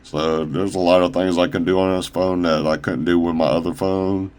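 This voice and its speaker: A man casually showing off his own shoe designs on a phone camera, rambling with fillers and restarts, his voice a little raspy from talking a long time. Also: deep voice, flat delivery, darkish tone. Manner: halting, loose, full of fillers and restarts. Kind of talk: casual rambling product showcase.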